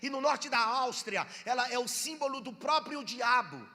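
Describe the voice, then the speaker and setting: A Brazilian pastor from Bahia with a Bahian accent, preaching a sermon, reading scripture slowly and calling on the congregation to answer. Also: male voice, very high-pitched, lively intonation, sharp tone, big-room sound. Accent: Bahian Brazilian Portuguese. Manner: reads scripture slowly and drawn out, urges listeners to respond.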